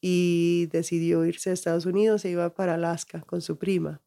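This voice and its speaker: A woman's voice: fairly low pitch, flat delivery, normal speed, gravelly, mellow. A middle-aged woman, raised in the United States, reflectively telling her life story as an interview guest, restarting phrases often. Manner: reflective, frequent restarts and laughs.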